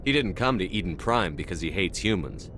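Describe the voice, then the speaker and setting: Low-voiced stoic space commander. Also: male voice, animated, fairly quick, noisy background. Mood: firm. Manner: terse, controlled. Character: stoic human military commander, space soldier. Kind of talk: sci-fi video game acting.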